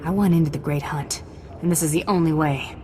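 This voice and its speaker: A woman's voice: deep voice, fairly quick, close to an everyday voice. A woman voicing a cocky female bounty hunter who speaks in short, confident lines. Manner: terse, confident. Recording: game audio with music.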